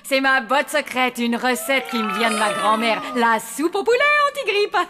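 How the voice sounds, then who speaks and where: Woman as high-voiced, fussy cartoon mother. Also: expressive, moderate pace, very noisy, natural voice. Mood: solicitous, eager. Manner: solicitous, effusive. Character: fussy, eager motherly female hotel hostess. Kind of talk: French cartoon dubbing.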